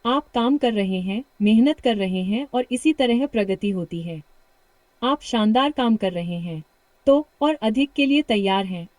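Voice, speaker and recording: A woman with a British accent coaching a shadowing practice lesson, full of encouragement and reading practice sentences slowly and repeatedly. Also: a little high, darkish tone, no echo. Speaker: English speaking coach.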